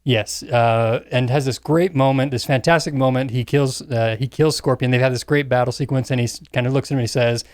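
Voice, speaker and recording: A man co-hosting a casual film discussion, piecing his thoughts together with pauses. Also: medium pitch, steady tone, a little mellow, no echo, studio quality. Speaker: podcast co-host.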